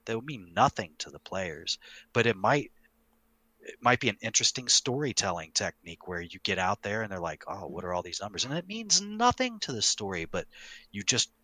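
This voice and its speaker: Bright, low-pitched man explaining game storytelling. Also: lively intonation, fairly quick, no echo, low-quality recording. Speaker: podcast host and game master. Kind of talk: casual podcast talk, explaining tabletop game storytelling.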